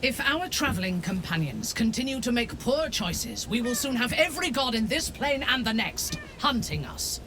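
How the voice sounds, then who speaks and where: An actor playing a haughty, disapproving adventuring companion in a fantasy video game, dry, formal and scolding. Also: male voice, extremely high pitch, sing-song, moderate pace, few pauses. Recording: ambient noise behind.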